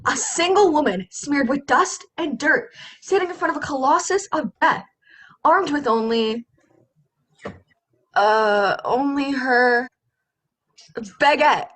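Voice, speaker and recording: A woman's voice: high-pitched, fairly bright tone. A teenage woman playing a flustered, awestruck radio reporter in a melodramatic student play over a video call. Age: teens. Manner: melodramatic, halting when flustered. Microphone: computer microphone on video call.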